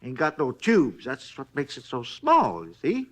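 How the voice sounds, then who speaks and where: An actor playing a chatty, folksy night clerk at a hotel, rambling in rustic grammar in a 1950s radio drama. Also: male voice, medium pitch, huge pitch swings, unhurried.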